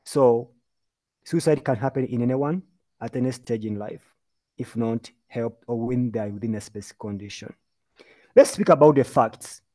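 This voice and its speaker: A man from Uganda speaks as a mental health presenter in an online webinar, laying out his points in an earnest, emphatic lecturing manner. His voice sits at an ordinary pitch with a mellow, darker tone, and he is heard over a video call. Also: moderate pace. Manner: earnest, emphatic, lecturing with lists and repeated phrases.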